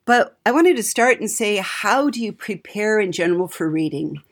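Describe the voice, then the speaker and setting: A woman's voice: medium pitch, expressive, moderate pace. A woman hosting a podcast in a casual, chatty style. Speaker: podcast host.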